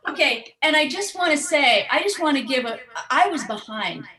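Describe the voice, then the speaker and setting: A woman's voice: high voice, animated, normal speed, a crowd in the background. A woman from the US Midwest chatting casually as a game show contestant on a video call. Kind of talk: casual unscripted chat.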